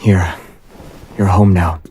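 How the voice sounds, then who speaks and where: A man acting a calm, protective young man with understated, gently teasing deadpan delivery in a romantic audio drama. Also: deep voice, slow pace, slight background noise. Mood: calm, caring.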